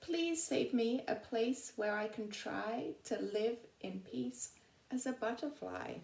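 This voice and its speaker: A woman's voice: on the high side, slow pace, creaky, darkish tone. A woman voicing a delicate, vain and wistful storybook butterfly in lilting rhyme. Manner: lilting rhyming recitation. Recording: roomy, echoing indoor sound.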